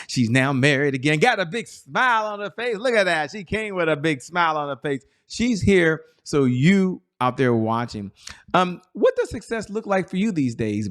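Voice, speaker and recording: A middle-aged man hosting a business talk show, asking long, enthusiastic questions and speaking directly to his audience. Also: a little high. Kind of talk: interview host chat.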